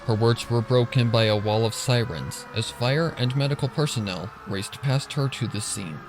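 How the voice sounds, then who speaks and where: A man's voice: mid-range voice, normal speed, noisy background. A man reading a scripted story as a dramatic narrator. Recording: narration over music and sirens.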